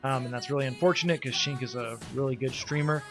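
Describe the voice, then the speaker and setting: A man with a stuffy, congested voice gives a loose, halting recap as league organizer, with many ums. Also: mid-range voice, over music. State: congested, coming down with a cold. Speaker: league organizer and team player. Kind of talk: casual spoken recap.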